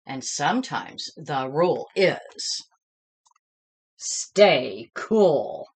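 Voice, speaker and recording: A woman voicing a patient but firm female schoolteacher who gently scolds and instructs in a children's story. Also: sits low, sing-song, extremely slow, breathy edge, pitched below the performer's own voice, exaggerated voice. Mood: patient, gently scolding.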